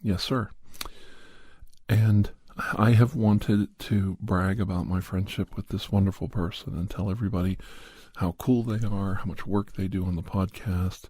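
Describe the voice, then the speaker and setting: A man hosting an ASMR podcast in a soft, breathy, husky voice with a mild head cold, chatting casually close to the microphone. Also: sits low, steady tone, measured pace, no echo. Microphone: studio condenser microphone, close.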